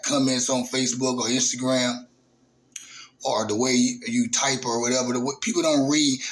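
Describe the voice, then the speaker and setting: A man, hosting his own channel in a casual, halting monologue full of fillers and restarts. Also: mid-range voice, fairly bright tone, rough recording. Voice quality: raspy voice. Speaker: YouTube channel host.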